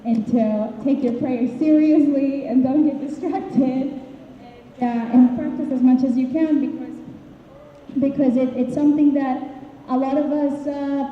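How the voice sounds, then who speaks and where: A woman from Peru with a fairly high voice says goodbye as a Hebrew school teacher, stopping and restarting her sentences as she speaks. The sound is tinny and phone-like. It echoes around a hall with a long reverb and a faint hiss underneath. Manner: hesitant, many restarts and fillers. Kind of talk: emotional farewell speech.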